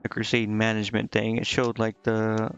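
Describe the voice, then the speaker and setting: A man's voice: fairly low pitch, monotone, moderate pace, muffled recording, slight background noise. A man doing casual live game commentary as a streamer, hesitantly thinking aloud to himself and reading on-screen text out. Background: game music.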